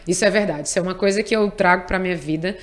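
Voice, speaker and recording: A woman's voice: fairly low pitch, racing. A woman, a tax lawyer talking earnestly in an interview and repeating key words for emphasis. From Piauí, Brazil. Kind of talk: conversational interview.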